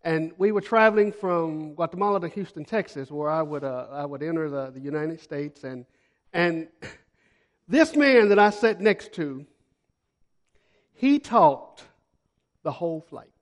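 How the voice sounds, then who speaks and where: A man with a high-pitched voice preaches to a church congregation. He is a missionary who tells folksy stories and repeats phrases for emphasis. His delivery swings from loud to hushed. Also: a little slow, pauses often. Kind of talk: sermon with storytelling.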